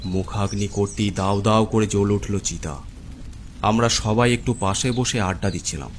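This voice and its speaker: Low-voiced man narrating horror. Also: fairly even intonation, moderate pace. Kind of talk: scripted first-person horror story narration. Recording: clear music bed under narration. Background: background music.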